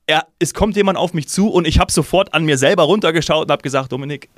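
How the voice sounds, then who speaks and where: A man from Hesse hosting a travel podcast, chatting casually and telling a lively story in which he acts out his own thoughts. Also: a little high, fast talker, no room sound, clean studio sound. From Hesse, Germany. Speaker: podcast host.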